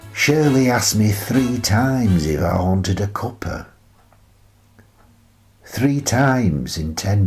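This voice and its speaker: Slow, low-voiced wistful older man, played by an actor. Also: gravelly, exaggerated voice. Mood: sorrowful, tender. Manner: reflective, measured, pausing between phrases. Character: wistful retired teacher, gentle ageing husband and grandfather. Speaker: actor in a radio play. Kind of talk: dramatic monologue, radio play storytelling.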